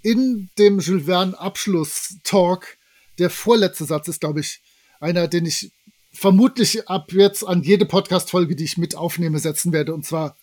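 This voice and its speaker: A man's voice: sits high, a little slow, a little mellow. A man in a casual podcast chat as a guest, speaking in a playful, jokey way.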